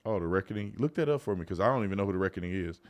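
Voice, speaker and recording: Low-pitched man hosting a livestream. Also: lively intonation, very fast. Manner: conversational, colloquial, addressing viewers directly. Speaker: host of a live commentary show. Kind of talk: casual livestream talk, reading out viewer messages.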